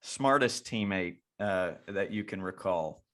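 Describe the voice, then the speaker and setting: Low-voiced man hosting a rambling chat. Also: expressive, a little slow, fairly bright tone. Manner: rambling, frequent restarts and repeated words. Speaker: podcast host and youth baseball coach. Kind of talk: casual interview conversation.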